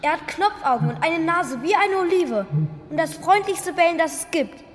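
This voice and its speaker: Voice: very high voice, lively intonation, moderate pace. A performer playing a stubborn, loyal young boy who wants his dog, plain-spoken and defiant, in a children's radio play. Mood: defiant, wistful. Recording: echoey hall, faint noise.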